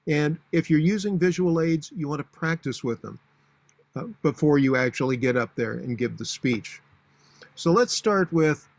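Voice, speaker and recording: A man in his sixties with a booming voice, giving practical, matter-of-fact advice on public speaking with frequent fillers. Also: no echo. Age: 60s. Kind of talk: instructional talk.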